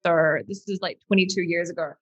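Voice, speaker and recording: A woman in her thirties with a New Zealand accent, telling her story as a podcast guest in a lively, chatty way. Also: fairly low pitch, fairly quick, lo-fi sound. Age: 30s. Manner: lively and chatty, many fillers like "like" and "um". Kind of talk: casual interview storytelling.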